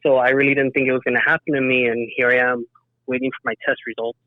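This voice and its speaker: Male interview guest, conversational and earnest, mid-pitched, dry sound through a narrow phone-quality connection. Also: level tone, quick pace, no room sound. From south Texas, United States. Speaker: interview guest, emergency dispatcher. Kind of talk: casual personal account in a podcast interview. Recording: thin phone-like band-limited sound.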